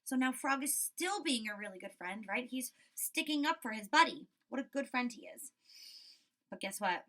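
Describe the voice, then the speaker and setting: A woman teacher reading a story aloud to children and commenting on it, expressive, often checking in with her listeners. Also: a little high, moderate pace. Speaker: school teacher.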